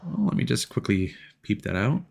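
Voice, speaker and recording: A man's voice: mid-range voice, big pitch swings, normal speed, gravelly, a little mellow, slight background noise. A man giving casual livestream commentary as he plays a game. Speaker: game streamer.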